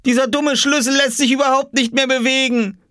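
An excitable little boy from a children's storybook, acted out by a man, speaks in a high, wildly varied pitch. He is bossy and impatient, exclaiming and scolding with urgency. The sound is dry and close. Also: moderate pace, no echo. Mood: urgent, desperate.

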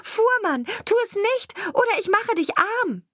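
Woman voicing a high, vengeful little sparrow. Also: bright, forceful tone, no echo, studio quality, exaggerated voice. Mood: warning, accusing. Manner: threatening, taunting, drawn-out refrain. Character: small vengeful sparrow, bold and relentless. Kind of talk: fairy tale character voice.

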